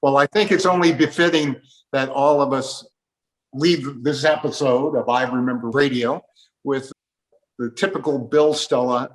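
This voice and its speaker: A man with an average-pitched voice hosts a panel interview. He addresses his guests politely and conversationally. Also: steady tone, a little slow, low-quality recording. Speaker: host interviewer.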